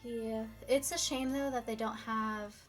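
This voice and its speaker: Young woman, higher voice, slightly hoarse, upbeat casual streamer chat, faint music behind. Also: steady tone, measured pace. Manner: chatty, upbeat, reads viewer comments. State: slightly hoarse, losing her voice.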